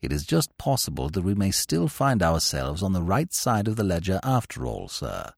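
A man voicing an imperturbable, deferential valet who speaks low, hushed and measured. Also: big pitch swings, creaky, breathy edge, no room sound, clean studio sound, exaggerated voice. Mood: composed. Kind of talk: audiobook character voice.

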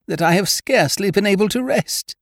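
A man's voice: sits high, animated, brisk, no echo, studio quality, pitched above the performer's own voice. A man voicing a haughty, fretful upper-class lady in a humorous audiobook, with a formal, plaintive, fluttering manner. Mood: plaintive, worried. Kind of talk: humorous audiobook character dialogue.

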